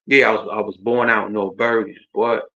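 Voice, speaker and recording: Male, circa 40, New Jersey accent, lower register, informal rambling host delivery, slightly dull streamed sound. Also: level tone, fairly quick, sharp tone. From Jersey City, New Jersey. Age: around 40. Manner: informal, rambling, repeats himself. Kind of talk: casual autobiographical livestream chat.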